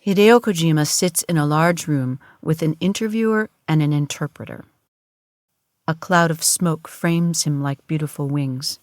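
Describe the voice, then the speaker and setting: A woman giving solemn, measured, deadpan narration for an audio drama in a documentary style. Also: deep voice, dry recording, studio quality.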